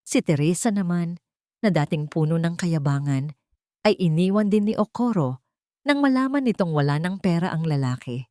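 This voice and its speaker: A woman narrator reading a scripted audio drama in a sentimental, measured, dramatic way. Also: fairly low pitch, animated, mellow, no room sound, studio quality. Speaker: storyteller narrator.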